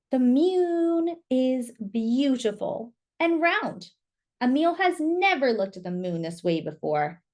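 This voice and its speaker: A woman storyteller with a fairly high voice reads a children's picture book. She takes her time, with lively, expressive intonation, and chats to young listeners with questions along the way.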